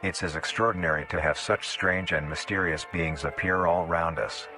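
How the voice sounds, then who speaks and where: A low-voiced man narrates a documentary from a script. His delivery is formal and grandiose, with music playing clearly behind him. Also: normal speed.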